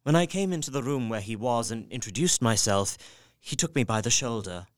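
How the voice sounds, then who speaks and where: An actor playing an earnest, newly resolute young husband, reading his journal in a measured, literary way for an audio drama. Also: medium pitch, animated, no echo, clean studio sound, lightly acted. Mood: resolute, reflective.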